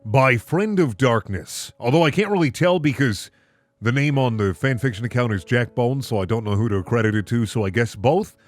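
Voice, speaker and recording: A man's voice: mid-range voice, a little fast. A man hosting a comedic reading, switching between mock-dramatic narration and casual, sarcastic commentary. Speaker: host reading and reacting to fan fiction.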